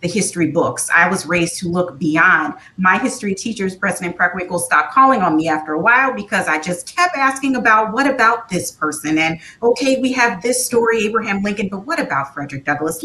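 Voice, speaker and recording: An American woman in her thirties speaking as a museum panelist, articulate and earnest in measured phrases. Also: expressive, pushed and bright, rough recording. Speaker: museum community engagement panelist. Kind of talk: panel discussion remarks. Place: small furnished room at home, on a video call. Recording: video call with clear noise and low rumble.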